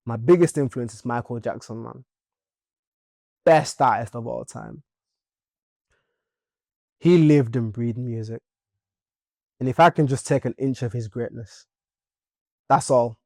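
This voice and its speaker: Leeds guy in his 20s, gravelly voice, mid pitch, animated and jokey, dry sound. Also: no echo. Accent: Leeds English. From Leeds, England. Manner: animated, playful, chatty. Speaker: musician guest. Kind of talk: casual interview chat.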